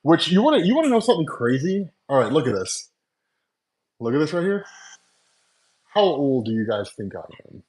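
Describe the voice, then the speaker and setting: A man's voice: on the high side, sing-song, moderate pace, pauses often, darkish tone, rough recording. A man in his forties chatting casually with viewers on a live stream, talking loosely with lots of fillers and laughs, into wireless earbuds. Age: 40s. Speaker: comics channel host. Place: at home making coffee.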